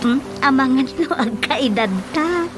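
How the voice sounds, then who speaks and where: A woman's voice: high-pitched, expressive, measured pace, noisy background. A woman with an Ilocano accent playing a flirty, giggly widow in a radio comedy drama. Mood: coy, teasing.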